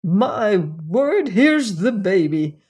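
A woman's voice: medium pitch, big pitch swings, very slow, a little mellow, slightly rough, heavily acted. A woman voicing a playful, cheerful male cat in a storybook tale, eager and coaxing.

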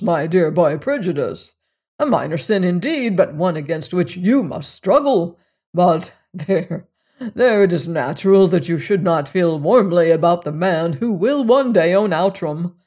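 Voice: mid-range voice, a little mellow, slightly rough. A reader voicing a pompous old reverend. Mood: unctuous. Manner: sanctimonious, lecturing, rising to indignant. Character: pompous older male clergyman, self-righteous and evasive.

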